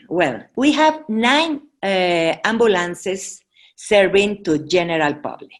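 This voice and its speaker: A woman with a Mexican accent, a native Spanish speaker, giving an informal presentation and halting as she searches for English words. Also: mid-range voice, lively intonation. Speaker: Red Cross presenter.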